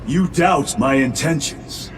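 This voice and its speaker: An actor playing a stoic, resolute veteran space marine lieutenant with a clipped, commanding way of speaking in a video game. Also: male voice, a little high, slow, background noise. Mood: guarded, grave.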